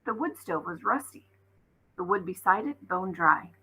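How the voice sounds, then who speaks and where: A woman reads a children's story aloud in an expressive teacher's narration. Her voice is bright and forceful, with a raspy texture and some vocal fry. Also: on the low side, normal speed, low-quality recording.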